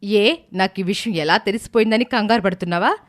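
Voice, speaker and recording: A woman's voice: medium pitch, a little fast, natural voice. A woman playing an angry, accusing young niece in a family drama story, confrontational and sarcastic.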